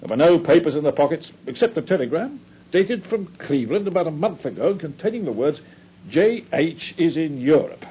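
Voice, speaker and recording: An actor playing a matter-of-fact, sceptical police inspector in a mid-century radio drama. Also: male voice, a little high, very animated, brisk. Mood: reporting. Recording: muffled, faintly noisy old radio recording.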